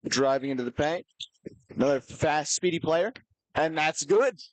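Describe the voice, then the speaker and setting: A man commenting on a school basketball game in a relaxed, rambling way, full of fillers. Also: a little high, normal speed, no echo, poor audio. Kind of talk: casual sports commentary.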